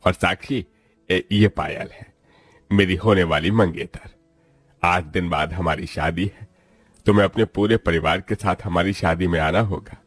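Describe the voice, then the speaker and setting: A man's voice: low voice, measured pace, soft background music. A man playing a busy, trusting rich young businessman in a moral story, earnest and dramatic. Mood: friendly.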